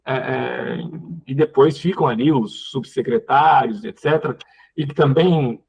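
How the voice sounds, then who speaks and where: A man's voice: mid-range voice, gravelly, a little mellow, breathy edge, muffled recording. A Brazilian man giving unscripted political commentary as a panel guest, often repeating words as he searches for phrasing. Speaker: panel guest, community representative. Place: online live call.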